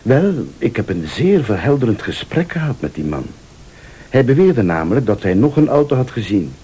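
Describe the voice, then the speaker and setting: An actor playing a vengeful rival in a radio thriller, a calm, sardonic accuser who speaks Flemish with deliberate, probing politeness. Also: male voice, mid-range voice, breathy edge. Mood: accusing. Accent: Flemish Belgian. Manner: deliberate, insinuating, formally polite.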